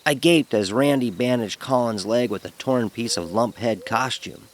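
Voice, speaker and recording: A man telling a first-person horror story in a dramatic, expressive narrating style. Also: mid-range voice, moderate pace, slight background noise. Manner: expressive, acts out dialogue.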